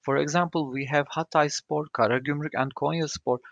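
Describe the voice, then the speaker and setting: A man's voice: fairly high pitch, steady tone, a little fast, creaky, dry recording, dull audio. A young man with a Turkish accent hosting a solo podcast, speaking English carefully as a non-native speaker, with some fillers. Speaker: podcast host.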